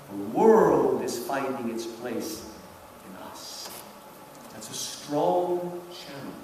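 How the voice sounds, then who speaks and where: A man in his fifties giving an emphatic public lecture, putting questions to his listeners and checking that they follow. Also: a little high. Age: 50s. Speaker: lecturer, professor. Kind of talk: animated public lecture commentary. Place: large indoor hall. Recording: echoey hall, distant mic, background noise.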